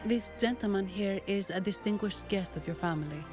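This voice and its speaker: A woman's voice: mid-range voice, fairly quick, muffled recording, lightly acted. A woman voicing a composed, businesslike young bank agent in a video game, matter-of-fact in manner. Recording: band-limited game capture with loud music.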